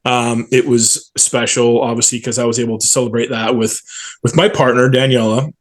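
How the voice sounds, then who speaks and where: A man's voice: medium pitch, little pitch movement, moderate pace. A man in his forties telling stories casually and enthusiastically as an interview guest, in colloquial language. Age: 40s. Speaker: stadium PA announcer, interview guest.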